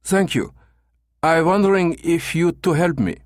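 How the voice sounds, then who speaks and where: A man voicing an eager, clumsy German tourist in halting broken English with a thick German accent. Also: fairly high pitch, no room sound, clean studio sound, pitched above the performer's own voice, close to an everyday voice.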